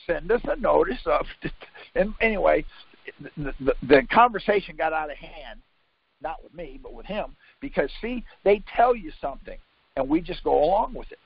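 A man's voice: on the high side, creaky, husky, no room sound. A man teaching on a conference call in an informal, insistent way, quizzing and contradicting his listener. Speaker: conference call leader teaching legal theory. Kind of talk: informal instruction by questions. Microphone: conference call line. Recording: muffled lo-fi conference call audio.

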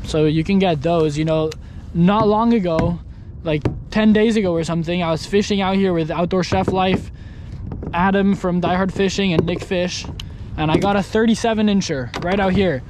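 Chatty, high-voiced man fishing outdoors. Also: moderate pace, noisy background. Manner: chatty, excitable, bursts of exclamations. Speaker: kayak angler. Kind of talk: casual fishing vlog commentary. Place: kayak on open bay water, outdoors. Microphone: action camera mounted on the kayak.

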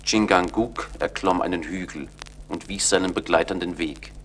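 Deep-voiced male radio play narrator. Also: normal speed, fairly bright tone, slight background noise.

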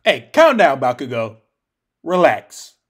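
A man's voice: medium pitch, wildly swooping pitch, drawn-out words, a bit bright, slightly rough. A young American man giving casual, slangy reaction commentary, exclaiming often. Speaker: reaction video host. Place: small home room, indoors.

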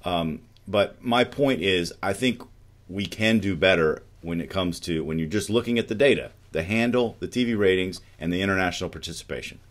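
Middle-aged guy hosting a racing chat, really deep voice, asks his cohost stuff. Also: brisk. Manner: conversational, poses questions to co-host. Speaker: show host. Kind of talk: casual discussion show. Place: studio with dark backdrop.

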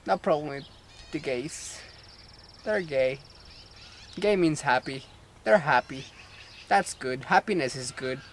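A young man in a casual outdoor vlog, rambling and joking into a camera mic. His voice sits a little high. Faint outdoor ambience hums behind him. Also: fairly bright tone, slight background noise. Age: young adult. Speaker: vlogger. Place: outdoor playground. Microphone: camera mic at arm's length.

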